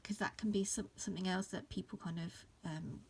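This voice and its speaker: A woman in her thirties, sounding croaky from hay fever, talks through an embroidery tutorial in a relaxed, rambling way, with plenty of ums. Her voice has a gravelly edge, and a faint hiss sits behind her. She is recording in an upstairs room at home. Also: lowish voice, a little fast. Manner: relaxed, many fillers and restarts. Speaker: embroidery teacher. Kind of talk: casual stitch-along tutorial.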